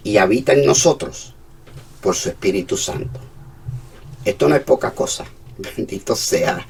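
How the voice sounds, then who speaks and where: A man with a Cuban accent, preaching a sermon as a pastor, moving between hushed and emphatic passages. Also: lowish voice, creaky, breathy edge, far from the mic.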